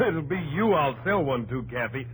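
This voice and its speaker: A forceful, fairly high-voiced American inventor in a vintage radio play. He is confident and businesslike, pitching like a salesman with animated intonation. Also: moderate pace, slight background noise, poor audio. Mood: confident, brisk. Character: confident, businesslike male inventor selling his farm machine. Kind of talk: 1950s radio drama acting.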